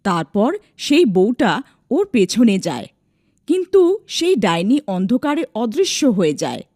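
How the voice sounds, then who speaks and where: A woman's voice: fairly high pitch, big pitch swings, unhurried, darkish tone, dry recording, studio quality. A woman narrating a scripted dramatic story as a storyteller.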